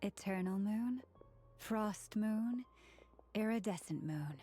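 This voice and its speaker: A woman's voice: medium pitch, lively intonation, takes it slowly, mellow, husky. A woman playing a devout moon priestess in a game, speaking with solemn reverence. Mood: reverent. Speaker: game character voice actor. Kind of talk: solemn ritual incantation. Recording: music behind the voice.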